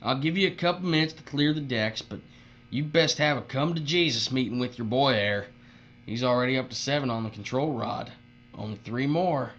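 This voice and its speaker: Man playing a sharp-voiced folksy bully foreman. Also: medium pitch, brisk, close to an everyday voice. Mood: menacing. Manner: folksy, drawling turns of phrase, mocking. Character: cruel, folksy, boastful bullying foreman who fawns on bosses. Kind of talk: dark dystopian audio drama acting. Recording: dull audio with faint mains hum.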